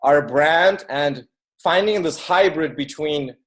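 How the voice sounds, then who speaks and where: A man's voice: on the high side, slow pace, a bit bright. A man originally from Ukraine who moved to the US as a child, talking casually and informally as an interview guest. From born in Ukraine, moved to the US at eight. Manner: informal, filler-heavy with frequent "like". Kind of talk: casual conversational storytelling.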